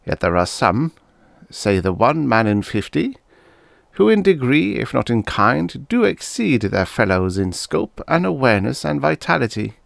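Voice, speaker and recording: A man's voice: mid-range voice, sing-song, unhurried, creaky, dry recording, studio quality, voice pushed up, full character voice. A man voicing a self-assured, boastful politician of seventy in a story, speaking formally and pompously. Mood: smug, frosty. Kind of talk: storybook character dialogue.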